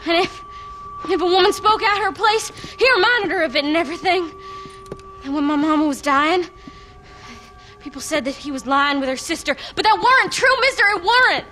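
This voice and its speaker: A woman's voice: extremely high pitch, expressive, normal speed, pushed and bright, faint music behind, heavily acted. An actress playing a distraught, naive frontier girl in a western video game. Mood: defensive, grieving.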